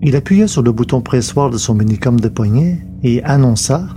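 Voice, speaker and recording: A man's voice: mid-range voice, lively intonation, moderate pace, noisy background. A man reading scripted narration for an audio story, as its narrator. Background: loud music.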